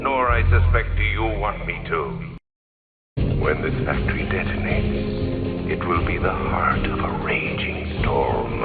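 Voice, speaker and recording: An actor playing a cold, grandiose video game villain who preaches fear in a whispery rasp, with slow, theatrical menace and an echoing, processed voice. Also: male voice, deep voice, heavily acted. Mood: impatient threat, then gloating menace. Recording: echoing, phone-like band-limited, loud music behind.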